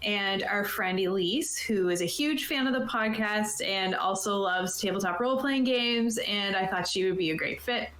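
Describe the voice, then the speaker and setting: Female stream host, mid pitch, casual and a little hesitant; roomy echo, faint noise. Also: normal speed, hardly any pauses, fairly bright tone. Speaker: podcast host and game keeper. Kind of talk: casual chat on a livestream.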